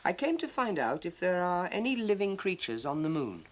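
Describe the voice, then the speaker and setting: A man's voice: high voice, big pitch swings, moderate pace, pitched above the performer's own voice. A man voicing a brave, earnest spaceman full of wonder in a children's storybook reading. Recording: hissy 1970s TV soundtrack.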